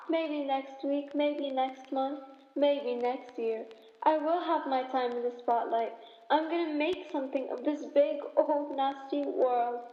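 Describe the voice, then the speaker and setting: Melodrama spoof: woman as starry-eyed, resolute dreamer, high pitch, reverberant hall. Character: wistful, determined young dreamer longing for the stage. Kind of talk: melodramatic old-time radio drama parody. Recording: echoey hall, dull stage recording.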